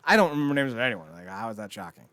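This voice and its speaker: A man's voice: medium pitch, big pitch swings, rapid-fire, fairly bright tone, dry recording, clean studio sound. A man co-hosting a casual pop-culture podcast, rambling and swearing freely as he chats. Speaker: podcast co-host.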